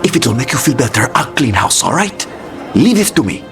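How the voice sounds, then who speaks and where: A man's voice: medium pitch, very animated, fairly quick, music in the background. A man voicing a smug, self-assured assassin, dismissive and theatrical, in a video game cutscene. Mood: exasperated.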